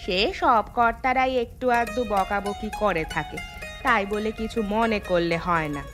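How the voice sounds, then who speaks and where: A woman voicing a kindly, patient village housewife in a cartoon, in a gentle, reassuring manner. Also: medium pitch, a little slow, music in the background, lightly acted. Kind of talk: animated cartoon dialogue.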